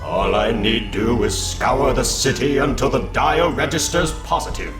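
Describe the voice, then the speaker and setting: A man voices a grandiose comic book villain, cold and menacing. He speaks slowly and theatrically, savoring each scheme, with music behind him. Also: mid-range voice, reverberant, close to an everyday voice. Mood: calculating. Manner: deliberate, pompous, theatrical. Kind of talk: dramatic comic book reading.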